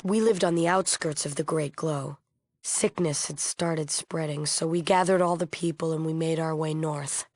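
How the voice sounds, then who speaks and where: An actress playing a solemn, idealistic leader of a post-apocalyptic peace movement in a video game, earnest and guarded. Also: female voice, low voice, fairly even intonation, moderate pace, darkish tone, no room sound. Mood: grave, informative. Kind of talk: acted video game dialogue.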